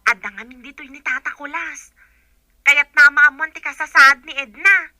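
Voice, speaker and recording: A woman's voice: high voice, fairly even intonation, normal speed, bright, forceful tone, dry recording. An actress playing a helpful, polite young village woman in a radio drama. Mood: concerned, relieved.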